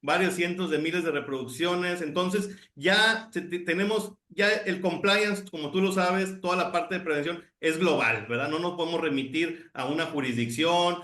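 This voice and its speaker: A man's voice: a little high, normal speed, hardly any pauses, pushed and bright, echoey room. A Honduran middle-aged man co-hosting a podcast, talking chattily and enthusiastically with frequent restarts. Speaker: podcast co-host. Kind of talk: casual podcast conversation.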